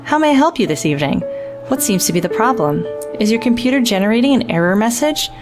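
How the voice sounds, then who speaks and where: A woman's voice: fairly high pitch, animated, quick pace, music in the background, voice pushed up, natural voice. A woman voicing a sardonic, burned-out male tech support worker who rants in a mock-helpful, exasperated way.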